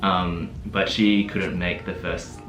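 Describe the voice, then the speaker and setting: Deep-voiced young Australian man. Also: slow pace. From Adelaide, South Australia. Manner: relaxed, laughs often, many fillers. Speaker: guest, host's fiancé. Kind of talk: casual relationship Q&A. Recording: echoey room with background music.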